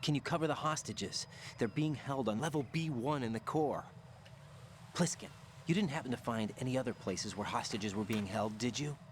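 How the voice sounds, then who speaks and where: A man's voice: mid-range voice, normal speed, close to an everyday voice. An earnest young rookie operative, played by a man in a game cutscene, always asking questions. He speaks in a mid-range voice, with a faint hiss in the background. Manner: earnest, inquisitive. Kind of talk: video game cutscene acting.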